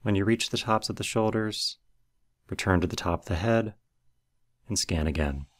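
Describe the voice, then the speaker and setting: A man with a low-pitched voice narrates a guided meditation. He gives instructions in a measured way, working from a script as a meditation guide.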